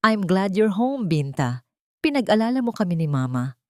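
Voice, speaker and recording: Woman voicing a calm, falsely sweet scheming husband. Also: mid-range voice, moderate pace, dry recording, studio quality, lightly acted. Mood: fake caring. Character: cold, calm scheming husband feigning tender concern.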